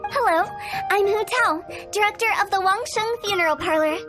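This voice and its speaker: Cheeky morbid young funeral director. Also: female voice, extremely high pitch, moderate pace, over music. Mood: teasing. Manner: playful, teasing, breezy. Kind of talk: game cutscene voice acting. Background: game score.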